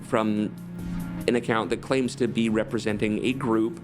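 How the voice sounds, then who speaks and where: A man's voice: on the low side, steady tone, normal speed. A man narrating a commentary video as an essayist, laying out his account of events. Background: music.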